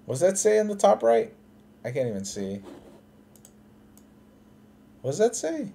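A man streaming live, reading on-screen captions aloud and reacting with loud, profane excitement and laughter. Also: high voice, unhurried, gravelly.

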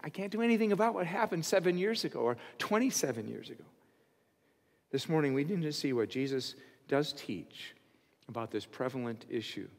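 A man in his sixties, a pastor, preaching an expository sermon from a church pulpit, earnest and rising in pitch and volume on key points. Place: church stage behind a pulpit. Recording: long hall reverb of a church sanctuary.

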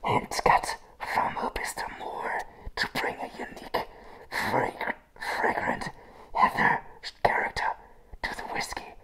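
A young man playing a crazy, jittery circus clown in a whispered ASMR roleplay, haltingly repeating his words with nervous apology in his manner. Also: sharp tone, low-quality recording. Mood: unhinged, intent. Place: small room with a plain grey wall. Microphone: close to the mic and camera.

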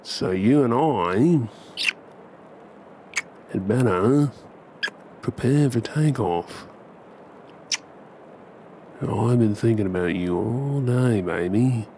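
A man's voice: medium pitch, very slow, pauses often, mellow, slight background noise. A man with an Australian accent playing a tender, playful husband and dad in an intimate ASMR role play, affectionate and coaxing. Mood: flirty.

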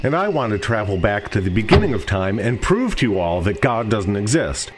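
A man's voice: lowish voice, big pitch swings, a little fast, over music. A man playing a dry, contrarian companion in a comic audio sketch. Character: dry, contrarian male time-travel companion.

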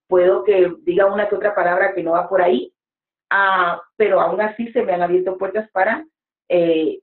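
Woman preacher in her 40s giving earnest advice over a lo-fi, echoey video call. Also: mid-range voice. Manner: earnest, exhorting, with gestures. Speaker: guest preacher. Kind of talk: motivational interview talk. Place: home room with bookshelves. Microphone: webcam on a video call. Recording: echoey room, phone-like sound.

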